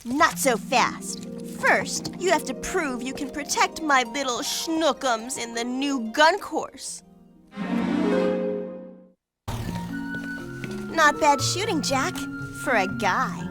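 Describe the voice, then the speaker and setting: A woman's voice: sits high, lively intonation, music in the background. A woman playing a girlish, bubbly gun designer in a video game, doting with baby talk yet able to turn menacing. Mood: sweetly threatening. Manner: baby talk mixed with rapid technical gushing. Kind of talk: video game cutscene acting.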